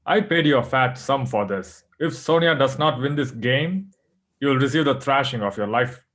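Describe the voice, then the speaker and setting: A man's voice: medium pitch, moderate pace, a bit bright, close to an everyday voice. A man reading the part of an impatient, menacing schemer in a play script. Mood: threatening. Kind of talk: table read of a play script.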